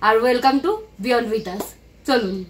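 A woman, hosting a casual travel vlog with chatty excitement, filmed at arm's length. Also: on the high side, drawn-out words. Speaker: vlogger host. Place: home room, then busy indoor cafe. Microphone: handheld camera at arm's length.

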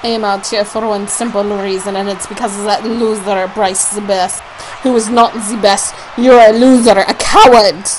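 A woman's voice: mid-range voice, steady tone, normal speed, lightly acted. A woman voicing an indignant, scornful female wrestler giving a challenge promo, with faint background noise.

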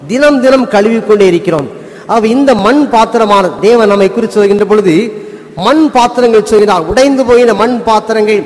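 A middle-aged male pastor with a Tamil Nadu accent, preaching earnestly at a lectern microphone, stressing key words and dropping low to close each point. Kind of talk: sermon. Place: church sanctuary with congregation. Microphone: stand mic at lectern. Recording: long church reverb.

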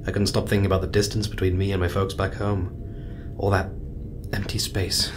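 Casting: male actor as kindly mission commander, low register, thoughtful, hesitant, apologetic, naturalistic sci-fi drama, rumbling noise behind. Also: little pitch movement, moderate pace, natural voice. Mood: wistful, musing. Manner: gentle, musing, hesitant. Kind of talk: naturalistic audio drama acting.

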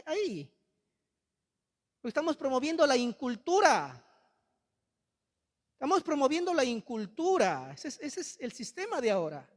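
A young Peruvian priest with a Peruvian accent preaching a sermon in a large church, holding a handheld mic, impassioned and reproachful, repeating his phrases. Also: very high-pitched. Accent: Peruvian Spanish. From Peru. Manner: impassioned, rhetorical repetition, reproachful. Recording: long church reverb.

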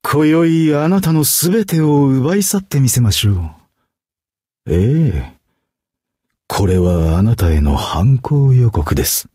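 An actor voicing a suave, poetic masked fox phantom thief in a video game, with flowery, courtly, dramatic phrasing. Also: male voice, medium pitch, expressive, slow, warm tone, husky, dry recording, clean studio sound. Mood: seductive. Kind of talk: stylized Japanese game character voice acting.

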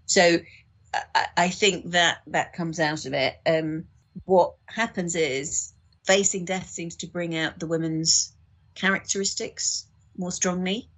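A woman's voice: lowish voice, steady tone, takes it slowly, a bit bright. A woman guest on a podcast, reflectively discussing literature and tentatively thinking aloud as she goes.

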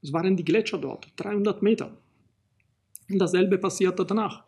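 Middle-aged man, Italian accent, slightly raised pitch and husky tone, halting lecture full of fillers, desk mic. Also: a little mellow. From Italy. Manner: halting, many fillers and restarts. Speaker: archaeologist lecturer. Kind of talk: recorded public lecture. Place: small studio in front of a banner.

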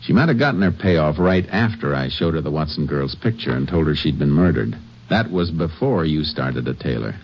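An actor playing a stern, shrewd Texas Ranger with an American accent, dry and matter-of-fact, in an old-time radio drama. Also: male voice, a bass voice, expressive, normal speed, breathy edge, heavily acted. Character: stern, shrewd male Texas Ranger narrating his case. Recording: muffled vintage radio recording, music behind.

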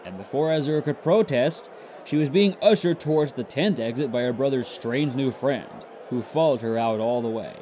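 A man's voice: medium pitch, normal speed, dark tone, a little hiss or hum. A man narrating a scripted story between lines of character dialogue.

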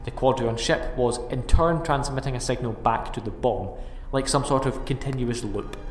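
A man's voice: medium pitch, moderate pace, lightly acted. A man playing an anxious, self-doubting time-travelling alien hero in an audio drama. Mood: stressed. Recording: echoey reverberant space, faint music.

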